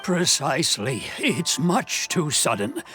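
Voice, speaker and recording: A man's voice: fairly high pitch, wildly swooping pitch, takes it slowly, bright, forceful tone, faint music behind, heavily acted. Grave, concerned village man. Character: concerned, sombre village man, thoughtful and measured. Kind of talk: video game voice acting.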